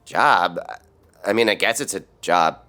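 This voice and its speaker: A man's voice: low voice, animated, a little slow, bright, forceful tone, heavily acted. A man playing a breezy, oblivious dinner guest in a sitcom, talking in a casual, offhand way. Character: breezy, clueless male coworker at dinner party. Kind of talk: sitcom acting, conversational.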